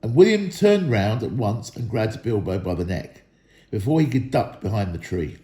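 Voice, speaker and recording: A man narrates a storybook dramatically, with lively swings in pitch. His tone is soft-edged and mellow, and the room adds a clear echo. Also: normal speed. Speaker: school staff member reading aloud. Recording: echoey room.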